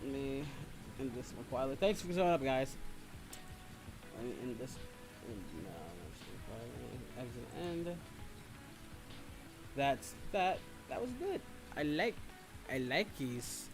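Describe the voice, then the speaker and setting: A man's voice: medium pitch, measured pace, long pauses, poor audio. A man hosting a livestream, chatting casually in a rambling, sometimes profane way. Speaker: livestream host. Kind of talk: casual chat with listeners. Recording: music playing behind the voice. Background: background music.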